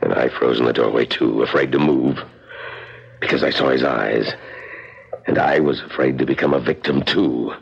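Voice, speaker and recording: An actor playing a theatrical museum guide who recounts murders with relish in a radio drama, in a gravelly voice. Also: male voice, fairly even intonation, normal speed, full character voice. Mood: grave, suspenseful. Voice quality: very deep, gravelly. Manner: theatrical storytelling. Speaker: narrator and actor. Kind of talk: old-time radio drama acting. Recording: dull vintage radio recording.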